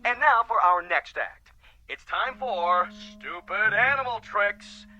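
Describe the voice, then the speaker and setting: An actor playing a ghoulish, gleefully cruel television host in a radio drama, with theatrical, cackling announcer patter. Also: male voice, high-pitched, expressive, slow pace, bright, forceful tone, dull audio, faint music behind, full character voice. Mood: gleeful showman.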